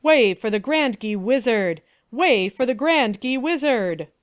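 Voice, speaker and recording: A wicked prince, played by a woman reading aloud, hissing and commanding harshly. The voice sits a little high, and the sound is close and dry. It is a scheming storybook villain. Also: animated, measured pace, no echo.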